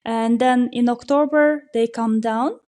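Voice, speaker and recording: A woman from Kyrgyzstan speaks as a podcast guest, a local tour operator. Her voice sits fairly high and sounds mellow. She explains things patiently, with plenty of "uh" and "like" along the way. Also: a little slow. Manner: explanatory, many fillers, steady flow. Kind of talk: conversational interview answers. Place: podcast recording.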